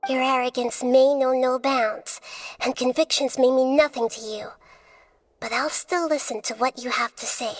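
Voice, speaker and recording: An actress playing a youthful, dignified goddess of wisdom in a video game, speaking in a measured, formal way. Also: female voice, sits high, steady tone, natural voice. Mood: composed, firm. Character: small female god of wisdom, youthful, calm, dignified. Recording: long hall reverb.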